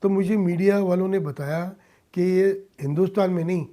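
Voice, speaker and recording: A man giving earnest interview answers in emphatic, halting short phrases, as a guest in a radio studio. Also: sits high, steady tone, warm tone. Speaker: interview guest, industrialist and philanthropist. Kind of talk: earnest interview answers mixing Hindi and English.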